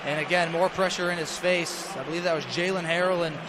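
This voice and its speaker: High-pitched American man calling football over crowd. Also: fairly even intonation, quick pace. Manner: clipped phrases calling action as it unfolds. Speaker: play-by-play radio announcer. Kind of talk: live American football radio commentary. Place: stadium broadcast position. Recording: noisy stadium broadcast with crowd roar.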